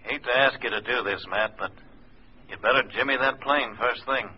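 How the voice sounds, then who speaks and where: An actor playing a brisk FBI handler in a 1950s radio drama, clipped and matter-of-fact. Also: male voice, mid-range voice, natural voice. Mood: firm, dry. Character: brisk, businesslike male FBI handler on telephone. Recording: muffled, faintly noisy old radio recording.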